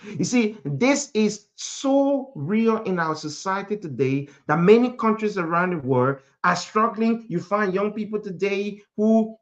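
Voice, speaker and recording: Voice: medium pitch, lively intonation, measured pace, dull audio. A speaker from Africa preaching a motivational sermon in an emphatic manner, repeating phrases and posing rhetorical questions.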